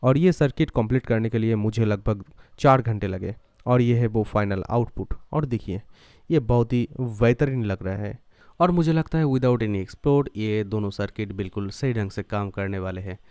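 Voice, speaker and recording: A man's voice: fairly low pitch, normal speed, darkish tone, clean tone, no room sound, studio quality. A man narrating a do-it-yourself tutorial step by step, mixing English terms and phrases into his speech. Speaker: electronics tutorial host.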